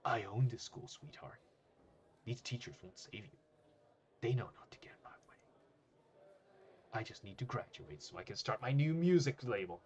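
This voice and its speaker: A man voicing a boastful, threatening high school bully in a story. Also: sing-song, brisk, few pauses, darkish tone, lo-fi sound, a little hiss or hum, lightly acted. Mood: menacing. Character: Kaga, swaggering menacing male high school bully.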